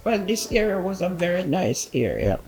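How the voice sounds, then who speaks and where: Conversational woman with soft music behind. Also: on the low side, big pitch swings, normal speed. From Jamaica. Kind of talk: interview recollection.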